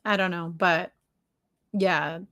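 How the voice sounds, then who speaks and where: A woman's voice: fairly low pitch, steady tone, slow, a bit bright, clean tone. A woman co-hosting a live stream in casual conversation, chatty and informal, with exclamations and slangy asides. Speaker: podcast co-host.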